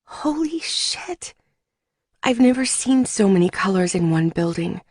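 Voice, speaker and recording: A woman's voice: medium pitch, animated, a little slow, a little mellow, dry recording, studio quality. A woman narrating first-person fiction as a scripted audiobook.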